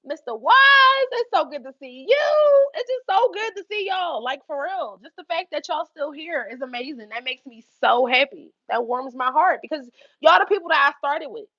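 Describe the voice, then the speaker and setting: A young woman with a Philadelphia accent telling a story on her livestream, animated and swearing freely between laughs. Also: high voice, no room sound, dull audio. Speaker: YouTube livestream host. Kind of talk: casual livestream storytelling to chat.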